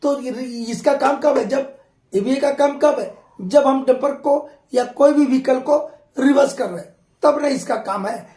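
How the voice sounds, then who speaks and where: Hoarse man teaching, echoey room. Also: very high voice, measured pace, dark tone. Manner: conversational teacher, addresses students fondly, repeats key points. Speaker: mining exam tutor. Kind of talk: explaining exam questions in an online class.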